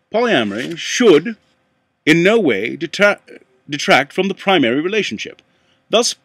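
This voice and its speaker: A man reading a nonfiction book aloud in a put-on accent, halting at times and correcting himself as he stumbles over words. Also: a little high, dry recording. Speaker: amateur book reader.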